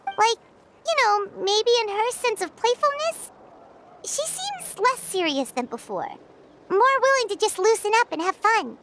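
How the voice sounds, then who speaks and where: A woman voicing a tiny childlike fairy companion in a video game, squeaky, chatty and excitable. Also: measured pace, noisy background, full character voice. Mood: curious, chatty. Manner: excitable, expressive, speaks of self in third person. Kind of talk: video game character voice acting.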